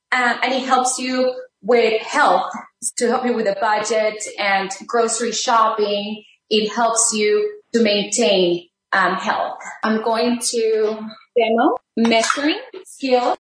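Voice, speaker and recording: A woman's voice: fairly high pitch, slow, reverberant, a little hiss or hum, rough recording. A middle-aged woman whose first language is Spanish, a rehabilitation teacher giving a friendly, chatty cooking demonstration from her home kitchen, with many fillers and restarts. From Texas border region.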